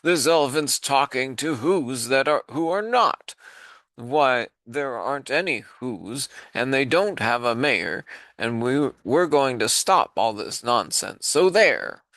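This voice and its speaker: A man voicing loud, mocking male jungle monkeys, shouting and jeering. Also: medium pitch, lively intonation, takes it slowly, dry recording, voice pushed up.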